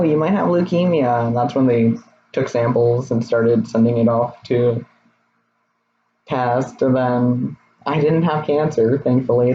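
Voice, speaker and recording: A young man in his twenties, his voice a little raspy, talking candidly and hesitantly in a personal vlog. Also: medium pitch, mellow. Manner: candid, rambling, hesitant with many fillers. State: slightly raspy voice. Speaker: vlogger. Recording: slightly dull, faint noise.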